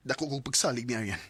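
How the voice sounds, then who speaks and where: A man's voice: medium pitch, lightly acted. A man with a Cebuano Filipino accent playing an earnest, devoted young husband in a radio drama.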